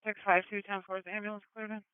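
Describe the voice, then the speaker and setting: A man's voice: sits high, level tone, very fast, a little hiss or hum. A man working as an emergency dispatcher, talking over a two-way police radio. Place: radio transmission heard over a scanner. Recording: narrow tinny police scanner radio.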